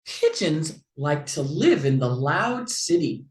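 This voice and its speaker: A woman reads aloud for young children with hugely swooping intonation at a very unhurried pace. Her voice sits a little low, and she shapes phrases expressively like a teacher. The room adds some echo. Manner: expressive, deliberate, with playful animal sounds. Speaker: children's book reader and online teacher. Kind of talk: slow animated read-aloud for young children.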